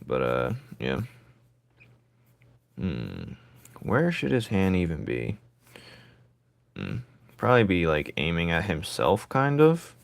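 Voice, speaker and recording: Low raspy-voiced man rambling while drawing. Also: huge pitch swings, takes it slowly, pauses often, dry recording. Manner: rambling, thinking aloud, joking with viewers. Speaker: artist streaming his drawing. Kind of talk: casual live chat while drawing.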